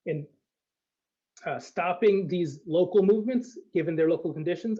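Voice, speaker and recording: Man, high voice, soft mellow tone, hesitant thoughtful academic discussion, dull lo-fi call audio. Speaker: academic guest speaker.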